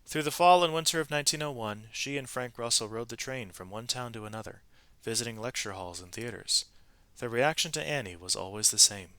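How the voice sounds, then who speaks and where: A man narrating a children's story aloud in an expressive, animated way, with varied emphasis from phrase to phrase. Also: mid-range voice, a little fast, clean tone, no echo.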